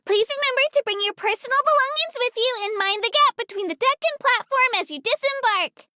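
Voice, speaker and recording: A woman's voice: very high voice, lively intonation, brisk, sharp tone, no echo, heavily acted. A woman voicing a cheerful, dutiful little female creature who works as a tour guide in a video game, speaking in a childlike, polite and earnest way. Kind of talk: scripted game character announcements.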